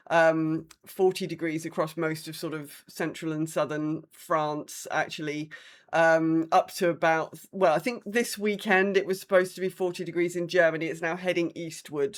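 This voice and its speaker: A British woman co-hosting a podcast with casual, wry, rambling chat. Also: low voice. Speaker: podcast co-host.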